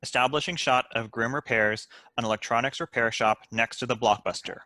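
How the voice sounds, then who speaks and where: A man narrates stage directions from a script. His mid-pitched voice is bright and forceful, recorded close and dry. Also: a little fast, no room sound. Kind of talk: scripted narration at a comedy table read.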